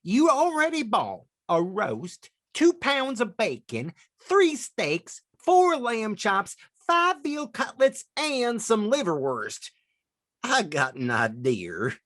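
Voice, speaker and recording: Man acting a slow, smug lovestruck butcher. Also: sits high, wildly swooping pitch, a bit bright, no room sound, studio quality, full character voice. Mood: smug, teasing. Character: smug lovestruck male butcher, flirtatious and wisecracking. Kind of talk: old-time radio comedy acting.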